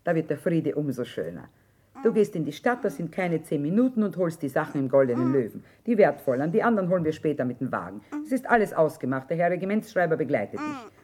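An actress playing a shrewd, hard-bitten middle-aged war trader in a radio drama, earthy and plain-spoken. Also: female voice, deep voice, sing-song, quick pace, dark tone, breathy edge, full character voice. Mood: coaxing, brisk. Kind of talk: stage-style German radio drama acting.

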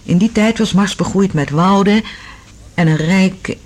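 An actress plays a curious woman explorer in a sci-fi radio play. Her voice is husky, with an inquisitive and careful delivery. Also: medium pitch, measured pace, lightly acted. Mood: reading, attentive. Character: curious female Mars explorer, affectionate partner of a scientist. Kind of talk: Dutch radio drama acting.

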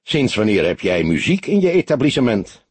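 A man voicing a gruff, modest old police detective in an audiobook, low-key, grumbling and dryly sardonic. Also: very animated, moderate pace, no echo. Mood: questioning. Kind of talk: audiobook character voice.

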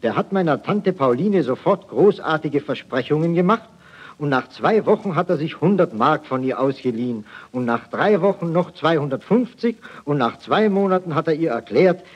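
A man's voice: on the high side, breathy edge, lo-fi sound. An actor playing a hapless, naive army private telling his woes in a folksy way on an archival 1940s satirical radio broadcast. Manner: folksy, mock-naive storytelling.

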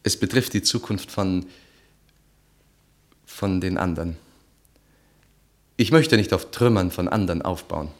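A conscience-stricken husband from a radio play, with a low voice. He talks in a hesitant, awkward way and sounds resigned. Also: a little slow, long pauses. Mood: troubled, hesitant. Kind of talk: German radio play acting.

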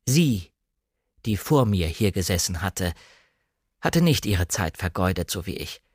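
A man's voice: low-pitched, sing-song, a little slow, darkish tone, husky, no room sound, studio quality. A man narrating a novel as a scripted literary audiobook reading.

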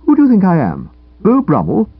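A man's voice: high-pitched, huge pitch swings, fairly quick, slightly rough, no echo, soft background music, voice pushed up, full character voice. A man voicing a gloomy, self-pitying young upper-class English writer in a comic audiobook, grumbling theatrically. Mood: aggrieved, dramatic. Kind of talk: comic audiobook character dialogue. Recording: dull lo-fi radio-style recording.

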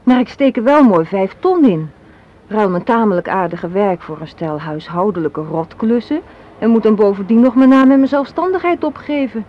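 Through a slightly hissy, muffled old radio-play recording comes an actress as a young woman with a sharp mind and a cynical streak. She argues assertively and articulately, with a sardonic edge. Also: medium pitch, animated, moderate pace, natural voice. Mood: scornful, firm. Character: assertive, cynical young woman arguing against a marriage proposal.

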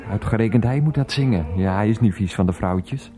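An actor playing an irritable, evasive husband in a Dutch radio play, with a sarcastic, grumbling manner. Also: male voice, fairly low pitch, brisk, warm tone, natural voice. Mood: grumbling, resentful. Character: irritable, evasive philandering husband, sacked and resentful. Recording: music behind the voice.

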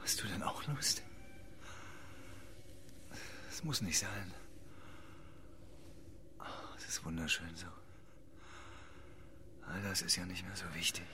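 A man's voice: low-pitched, slow, long pauses, noisy background. An actor playing a weary, broken homeless man in a radio drama, intimate, tender and bitter. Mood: hushed tenderness. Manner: hushed, intimate, world-weary.

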